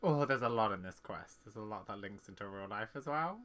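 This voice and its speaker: A man giving casual, halting commentary on a game as he thinks aloud, with music underneath. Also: fairly low pitch, expressive, very noisy. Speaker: gaming streamer.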